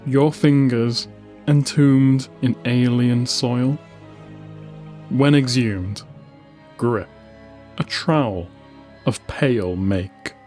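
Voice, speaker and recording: Slow, solemn male narrator over music. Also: mid-range voice, a little mellow. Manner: solemn, deliberate, with dramatic pauses between short phrases. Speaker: audiobook narrator. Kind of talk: scripted dramatic narration of science-fiction lore.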